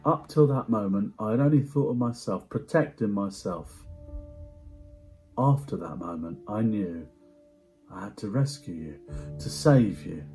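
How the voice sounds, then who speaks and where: A man giving a dramatic first-person story reading in a hushed, measured way with deliberate pauses. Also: on the low side, lively intonation, soft background music. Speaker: amateur actor performing a story reading.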